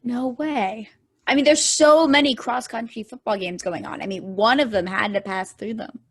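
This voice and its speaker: A woman's voice: a little high, moderate pace. A woman playing an earnest, curious and easily puzzled space probe, hesitant and conversational, in a table read. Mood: baffled. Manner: hesitant, questioning.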